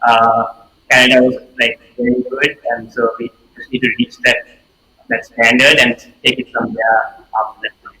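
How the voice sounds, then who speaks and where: A male student debater from Sri Lanka answering steadily and deliberately. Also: medium pitch, flat delivery, sharp tone, rough recording. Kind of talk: reflective interview answer.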